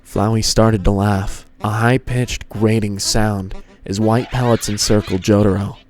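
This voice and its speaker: A man's voice: on the low side, steady tone, unhurried, darkish tone. A man reading scripted fan fiction as the narrator of a dramatized story. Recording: music behind the voice.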